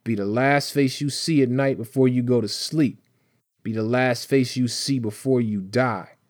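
A man voicing a wiry, sickly, menacing older gun dealer in an audiobook, rough and raspy, with blunt street slang. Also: medium pitch, unhurried, a little mellow, no echo, clean studio sound, close to an everyday voice. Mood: grave warning.

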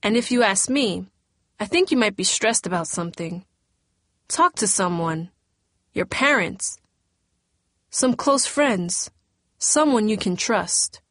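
A motherly school nurse gives firm, caring advice, voiced by a woman reading a storybook. Her pitch is middling and her voice is dry and close. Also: lively intonation, unhurried, long pauses, fairly bright tone, dry recording. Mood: concerned. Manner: motherly, advising.

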